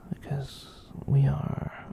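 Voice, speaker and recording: A performer voicing a gentle giant catboy in a whispered ASMR roleplay, soothing and rambling, with hesitant pauses. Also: close to an everyday voice. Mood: gentle, reassuring. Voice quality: breathy whisper. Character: gentle giant catboy, soothing, reassuring, shyly self-conscious.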